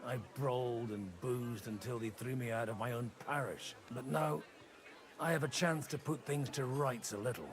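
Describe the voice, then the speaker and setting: A man's voice: mid-range voice, normal speed, a little hiss or hum, lightly acted. An actor playing a blunt, disgraced brawling priest, a nobleman's elder son, in dramatic medieval game dialogue. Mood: earnest. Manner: blunt, plain-spoken.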